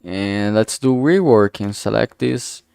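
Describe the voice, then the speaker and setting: Slow, creaky-voiced man streaming casually. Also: on the low side, a little mellow, no echo, studio quality. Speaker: streamer making a visual novel. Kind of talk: casual livestream commentary while drawing.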